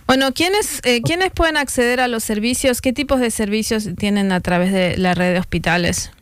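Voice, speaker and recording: A woman's voice: on the high side, normal speed, clean tone, no room sound. A woman hosting a conversational interview, fluent and engaged, affirming her guest as she goes. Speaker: interviewer, host.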